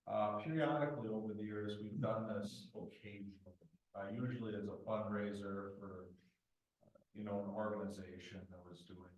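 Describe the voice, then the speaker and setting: A man with a loud, lowish voice gives a plain report to a committee, full of halting fillers. He sounds set back from the mic, and his words ring around a very echoey meeting room. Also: fairly even intonation, recorded from a distance. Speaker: village marshal.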